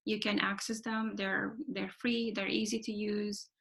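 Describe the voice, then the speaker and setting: A woman talks through her practice on a webinar call. Her voice is bright and crisp with a gravelly fry at the ends of phrases. She keeps pausing on "um" and "uh" and tags her points with "right?". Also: medium pitch, little pitch movement. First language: Romanian. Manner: explanatory, frequent "right?" tags and fillers. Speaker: clinical evaluator giving a webinar talk. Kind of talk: webinar presentation. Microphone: video call.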